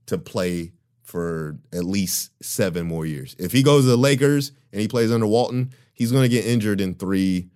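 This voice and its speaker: A man with a very low, deep voice, holding forth in a loose podcast conversation. He talks with emphasis and often breaks into laughter. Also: expressive, normal speed, a little mellow. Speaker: podcast co-host. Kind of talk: casual chat.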